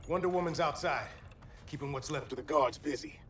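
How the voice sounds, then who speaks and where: A man's voice: a little high, a little fast, fairly bright tone, a little hiss or hum, lightly acted. An actor playing a confident, mocking male cyborg with slangy swagger in a video game cutscene. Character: confident, mocking male half-machine tech hero.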